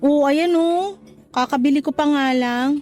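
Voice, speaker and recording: A woman's voice: sits high, unhurried, darkish tone, soft background music. An actress playing a frank, chatty young Filipino single mother in a radio drama. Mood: friendly, curious. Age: young adult. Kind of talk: Tagalog radio drama acting.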